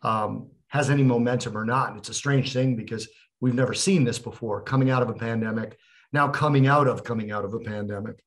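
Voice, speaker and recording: A man's voice: mid-range voice, fairly even intonation, a little fast. A man co-hosting a podcast over a video call, asking long, rambling, explanatory questions with fillers. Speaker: podcast co-host. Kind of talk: casual interview questions.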